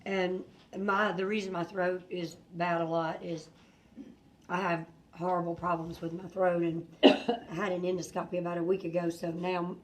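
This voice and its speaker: A hoarse woman student joining a class discussion in a chatty way, full of asides. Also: lowish voice, little pitch movement, moderate pace, poor audio.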